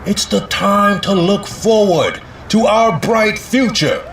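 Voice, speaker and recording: Slow-speaking, high-pitched polished mayor. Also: male voice, fairly bright tone, reverberant, a little hiss or hum, heavily acted. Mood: upbeat, official. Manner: measured, rehearsed politician's delivery. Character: polished, evasive city mayor, a middle-aged politician. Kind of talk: audio drama acting, political speechmaking.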